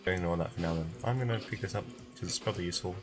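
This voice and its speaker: A man's voice: low-pitched, rapid-fire, music in the background. A man giving casual gameplay commentary as a streamer, muttering asides to himself as he plays. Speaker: streamer playing and voicing a game.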